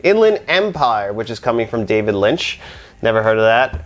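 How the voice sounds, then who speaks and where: Slightly raspy, enthusiastic man. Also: fairly low pitch, sing-song, moderate pace. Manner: enthusiastic, talkative. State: hoarse, getting over the flu. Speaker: podcast co-host. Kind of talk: casual podcast chat.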